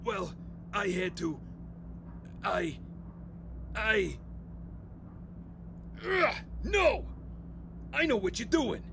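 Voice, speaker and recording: A man acts out a paranoid, tormented veteran in an audio drama. His voice is high and gravelly, slow and halting even as he rants in agitation. There is clear noise behind him. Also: heavily acted. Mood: frantic rage. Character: paranoid, anguished war veteran holding children hostage.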